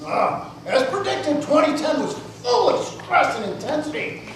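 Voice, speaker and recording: Woman playing a boisterous high-voiced tiger in an echoey hall. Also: very animated, unhurried. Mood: exuberant. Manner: theatrical, exuberant, laughing. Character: boisterous, boastful, lucky tiger of Chinese zodiac. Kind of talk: live amateur stage play. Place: live performance in a roomy indoor space. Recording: echoey noisy hall from far off.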